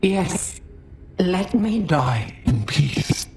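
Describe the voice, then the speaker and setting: An actress playing a tormented, fearful woman trapped as a digitised mind in a video game, speaking in halting, pleading fragments. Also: fairly low pitch, big pitch swings, darkish tone, slight background noise, heavily acted. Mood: desperate pleading. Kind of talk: cinematic video game acting.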